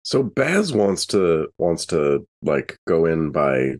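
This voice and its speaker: Very deep-voiced man at game table. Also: big pitch swings, slow pace, darkish tone. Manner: informal, thinking aloud. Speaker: tabletop role-playing game player. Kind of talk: casual game-table chat.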